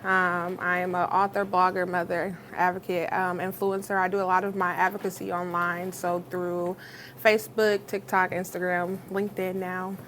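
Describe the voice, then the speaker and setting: A woman's voice: lowish voice, level tone, moderate pace, fairly bright tone, a little hiss or hum. A woman from Iowa, an advocate on a panel, speaking casually and a little nervously. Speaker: HIV advocate. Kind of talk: panel discussion.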